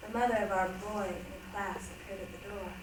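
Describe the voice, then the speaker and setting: A woman's voice: medium pitch, steady tone, normal speed, close to an everyday voice. A woman performing a reader's theatre monologue as a reflective, earnest woman looking back on her childhood. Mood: reminiscing, earnest. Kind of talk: staged dramatic monologue reading. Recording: distant, noisy, echoey room recording.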